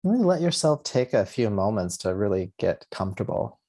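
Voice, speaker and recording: A man leading a guided meditation as a yoga nidra teacher, speaking gently and soothingly in spaced, unhurried phrases. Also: fairly low pitch, big pitch swings.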